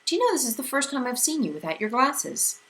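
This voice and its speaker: A woman voicing a gentle, steady teenage boy who speaks softly and kindly. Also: high voice, fast, pitched above the performer's own voice, exaggerated voice. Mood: tender. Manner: gentle, soft-spoken.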